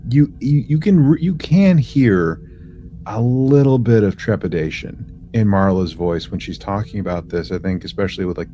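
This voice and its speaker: A man co-hosting a podcast, casually recapping in a halting way, as if thinking aloud. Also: on the low side, mellow, lo-fi sound, slight background noise. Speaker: podcast co-host. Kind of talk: casual chat recapping a sci-fi audio drama.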